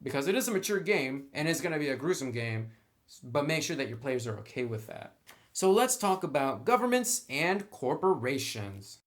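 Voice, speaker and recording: A man's voice: medium pitch, sing-song, normal speed. A man reviewing in a casual, conversational and opinionated way, speaking informally like a hobby commentator. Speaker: tabletop game book reviewer.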